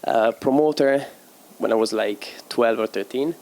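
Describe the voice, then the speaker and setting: A young man talks about his life in casual interview answers. He speaks at an ordinary middle pitch, stops often to hunt for the right word and laughs easily. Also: darkish tone, low-quality recording. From Italy. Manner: halting, searching for words, laughs easily. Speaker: DJ and producer guest.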